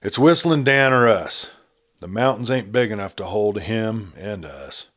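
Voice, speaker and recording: A man voicing a gruff, swarthy outlaw in a Western story reading, with a growling delivery. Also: on the low side, lively intonation, a little slow, a bit bright.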